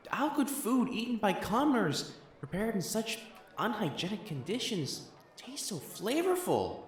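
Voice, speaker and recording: An actor playing a proud, secretly smitten young noble matchmaker in a romantic audio drama, with haughty formal diction that turns to stammering when flustered. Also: male voice, high voice, big pitch swings, measured pace. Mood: quiet wonder. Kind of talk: acted romantic audio drama monologue to the listener.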